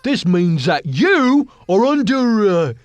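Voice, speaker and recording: A man's voice: high voice, very animated, slow pace, no echo, dull audio, exaggerated voice. A man voicing a bumbling, dim-witted, eager guard in a cartoon video game, with a hesitant, stumbling delivery. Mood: flustered bluster.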